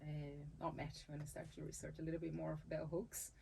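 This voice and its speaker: Low, gravelly-voiced Brazilian woman. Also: monotone, brisk, dark tone, a little hiss or hum. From Salvador, Bahia, Brazil. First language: Portuguese. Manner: thoughtful, restarts phrases, laughs easily. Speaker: dancer and capoeira instructor, interview guest. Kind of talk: conversational interview.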